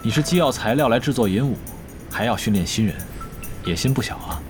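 A man's voice: mid-range voice, expressive, a little fast, close to an everyday voice. An actor playing a stern, composed male esports team captain in a Chinese audio drama, serious and restrained. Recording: background music under the voice.

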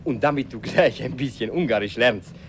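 A man playing a kindly, jovial old gentleman with a Hungarian accent in a radio play, hearty and avuncular. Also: medium pitch, normal speed, slight background noise, natural voice. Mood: jovial, teasing.

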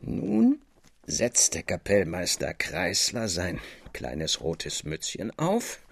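Male narrator for literary radio drama, mid-range and slightly rough voice, musical underscore. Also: deep voice, huge pitch swings, very slow.

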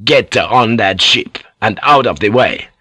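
A man's voice: lowish voice, a little slow, bright, forceful tone, breathy edge, no room sound, studio quality, heavily acted. A man voicing a stern, righteously indignant senior churchman in an audiobook, giving emphatic, imperious commands. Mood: outraged command.

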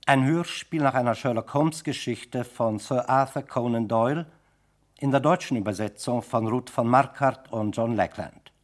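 A man's voice: mid-range voice, moderate pace. A man reading closing credits as a radio announcer in a formal, scripted style.

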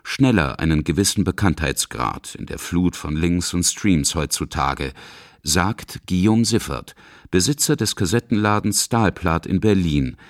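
A man's voice: low-pitched, a little slow, no room sound, studio quality. A man narrating a scripted radio documentary as its reporter.

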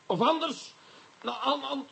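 An actor playing an excitable, short-tempered police commissioner who blusters and stammers in a Dutch radio play. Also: male voice, very high voice, measured pace, vocal fry, full character voice, low-quality recording. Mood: blustering, flustered.

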